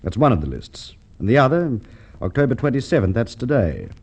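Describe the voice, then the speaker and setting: An actor playing a shrewd police inspector with an Australian accent, deliberate and courteous in the style of golden age radio drama. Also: male voice, mid-range voice, very animated, a little mellow, husky. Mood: musing, assured. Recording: dull vintage radio drama recording.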